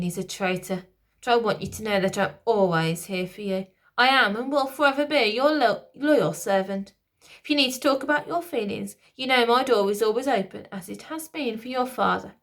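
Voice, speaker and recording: A woman's voice: on the high side, normal speed, fairly bright tone. A woman voicing a scheming male Lord Protector who sounds pompous and falsely soothing. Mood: smarmy.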